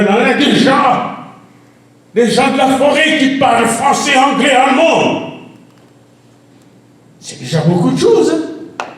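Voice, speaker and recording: High-pitched preacher in an echoey hall. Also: male voice, recorded from a distance. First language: an African language. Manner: preaching with rising shouted refrains. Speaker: pastor. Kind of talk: sermon. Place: church.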